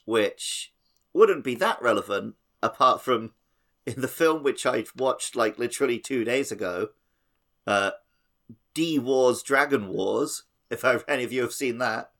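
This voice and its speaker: A man's voice: mid-range voice, measured pace, a bit bright. A man co-hosting a podcast, explaining things in a casual, rambling way. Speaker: podcast co-host. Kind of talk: casual podcast discussion explaining mythology and games.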